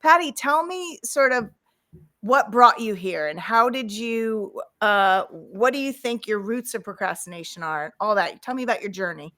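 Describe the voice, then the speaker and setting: A woman's voice: mid-range voice, expressive, moderate pace, a bit bright. A woman hosting an interview podcast, chatty and enthusiastic, often affirming her guest. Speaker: podcast host. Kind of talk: conversational interview.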